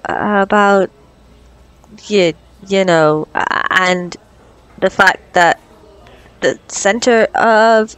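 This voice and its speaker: A young woman doctor on a first date, acted by a woman for an ASMR roleplay. She is awkward and flustered, stammering over her words at a slow, halting pace in a mid-pitched voice. She sounds nervous and self-conscious. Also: natural voice.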